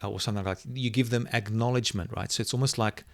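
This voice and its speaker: A middle-aged man whose first language is Afrikaans, reflectively telling a personal story as an interview guest and often pausing to search for words. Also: lowish voice, steady tone, vocal fry, dry recording. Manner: thoughtful, searching for words, many restarts.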